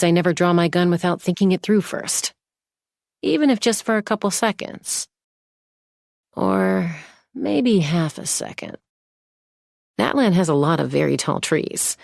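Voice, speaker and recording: A woman voicing a confident young female gunslinger and peacemaker in a video game, with a cool, measured, self-assured delivery. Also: low voice, creaky, darkish tone, no room sound, clean studio sound, full character voice. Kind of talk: scripted game character voice lines.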